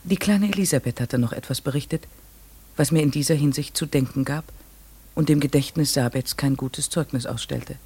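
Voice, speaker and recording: A man playing an earnest, inquisitive narrator in a radio play, musing and asking himself questions in a deliberate way. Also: mid-range voice, a little mellow, breathy edge. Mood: reflective. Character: earnest, inquisitive narrator puzzling over mysterious ravens.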